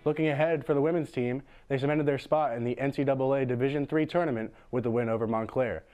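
Young man reading sports highlights over music. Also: steady tone, fast, a little mellow, clean tone. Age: young adult. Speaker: student sportscaster. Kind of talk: scripted sports highlights narration. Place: TV studio. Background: faint music.

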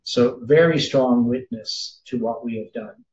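Man in his sixties, preacher, slow thinking-aloud explanation, echoey room, muffled webcam sound. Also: mid-range voice, darkish tone. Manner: explains slowly, thinks aloud with many "uh"s and self-corrections. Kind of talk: sermon-style Bible study over screen share. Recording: roomy, slightly dull sound.

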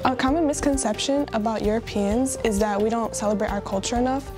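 A teenage girl of Polish heritage giving an earnest, slightly halting interview answer. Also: fairly high pitch, over music. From Poland heritage. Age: teens.

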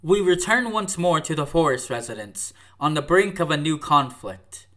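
Higher-pitched male drama narrator. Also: expressive, normal speed, a bit bright. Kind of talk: scripted narration of radio drama.

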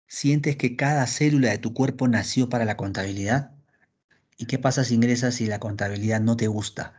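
A husky-voiced man teaches philosophy to his students over a video call. He keeps it lively and acts out their questions as he goes. The sound is dull and lo-fi. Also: mid-range voice. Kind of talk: online class lecture.

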